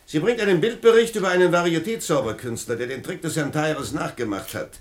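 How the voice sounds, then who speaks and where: An actor playing a mocking, suspicious official at a hearing in a radio play, brusque and scoffing. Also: male voice, on the high side, fairly quick, lightly acted. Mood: scornful. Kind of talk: German science-fiction radio play acting.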